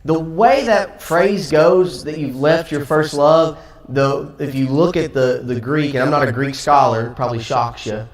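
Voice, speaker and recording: A man's voice: fairly high pitch, a little slow. Southern man teaching in an echoey church. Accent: Southern American. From Tennessee. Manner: conversational, acts out quotes, light laughs. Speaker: Sunday class teacher. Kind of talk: Bible class teaching. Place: church auditorium. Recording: echoey room, from far off.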